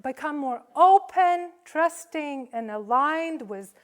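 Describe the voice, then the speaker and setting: A woman giving a conversational sermon to a congregation, speaking as a preacher in short, paused phrases. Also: high voice, expressive.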